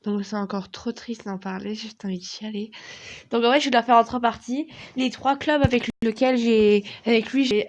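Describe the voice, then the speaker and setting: A young woman with a hoarse voice from a cold, telling a rambling personal story to her viewers with many fillers. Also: a little high. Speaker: vlogger. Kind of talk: casual storytelling to camera.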